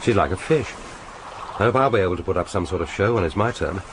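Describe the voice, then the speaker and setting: A man's voice: low voice, animated, fast, noisy background. An actor playing a wry, urbane Western man in a radio drama, courteous and self-deprecating, with dry, mock-formal phrasing. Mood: easygoing.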